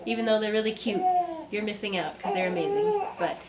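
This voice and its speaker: A young woman, a mother and artist, talking to camera from a script in a soft, reflective vlog; she sounds stuffy and congested. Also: fairly high pitch, big pitch swings, fairly quick, slight background noise. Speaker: vlogger, artist. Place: home. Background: kids playing.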